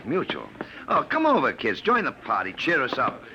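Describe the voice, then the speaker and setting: An actor in a 1950 radio drama playing a loud, jovial, wisecracking male Hollywood film director. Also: medium pitch, wildly swooping pitch, moderate pace, noisy background. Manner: loud, back-slapping, wisecracking. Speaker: Joe Melton, unit director. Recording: muffled old radio broadcast with crowd. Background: restaurant crowd.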